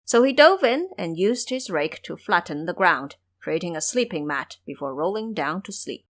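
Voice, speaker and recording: A woman's voice: lowish voice, very animated, moderate pace, fairly bright tone. A woman narrating a scripted fantasy adventure as a storyteller.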